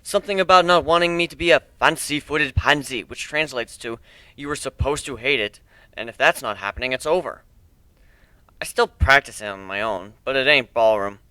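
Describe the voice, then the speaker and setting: A man's voice: mid-range voice, normal speed, bright, forceful tone, clear, resonant tone, dry recording, lightly acted. A man reading a dramatic first-person story as a sarcastic, bitter bullied teenage nerd, with emphatic delivery. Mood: bitter, sardonic. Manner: sardonic, emphatic.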